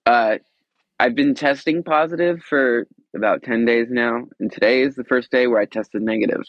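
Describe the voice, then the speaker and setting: A man with a stuffed-up, congested voice, chatting in a relaxed, easygoing way. His sound is bone-dry and a little dull, as if recorded on a modest remote mic. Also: medium pitch, moderate pace, dry recording. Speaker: podcast guest, musician. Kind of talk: casual chat.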